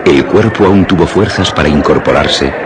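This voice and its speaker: Very deep-voiced man narrating horror. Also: heavy background noise. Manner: solemn, literary, deliberate storytelling. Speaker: narrator of a horror radio drama. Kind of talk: dramatic scripted horror narration, Spanish radio theatre. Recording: narration over loud music.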